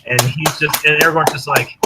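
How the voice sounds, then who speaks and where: A man's voice: on the high side, fairly even intonation, brisk, very noisy. A man chatting casually and enthusiastically in a rambling way as a podcast co-host, swearing freely as he recommends stories. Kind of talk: casual chat recommending fan fiction.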